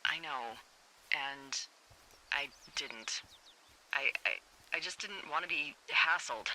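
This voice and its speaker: A very bright, sharp-edged female fire lookout in a video game, wry and caring. She talks frankly and conversationally over a two-way radio, with faint noise. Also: deep voice, slow pace, full character voice, rough recording. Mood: guilty, wry. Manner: conversational, dry, hesitant when admitting things. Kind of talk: naturalistic video game dialogue.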